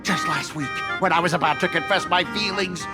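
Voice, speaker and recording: A man's voice: sits high, fairly quick. A young man voicing a hot-headed, bitter student rival in an anime-style video game, melodramatic and indignant. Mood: bitter, challenging. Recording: music behind the voice. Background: game music.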